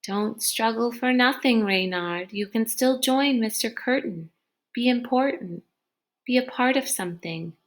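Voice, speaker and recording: Storybook villain machine played by a woman reader, slow and seductive. It coaxes and insinuates, drawing the listener in with measured persuasion. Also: a little high, lightly acted. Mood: coaxing, insinuating. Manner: coaxing, insinuating. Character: seductive, whispering mind-reading machine, coaxing and insidious.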